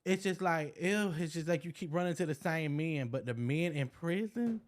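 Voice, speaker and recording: A man's voice: on the high side, moderate pace. Laid-back blunt young man guest. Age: 20s. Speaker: podcast guest. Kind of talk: casual interview chat.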